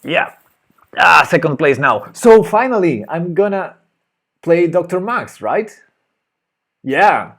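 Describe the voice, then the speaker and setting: A man's voice: a little high, big pitch swings, takes it slowly, long pauses, fairly bright tone. A man with a Spanish accent streaming live chess, thinking aloud and hesitantly muttering his moves. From Spain. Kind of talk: live chess commentary while playing.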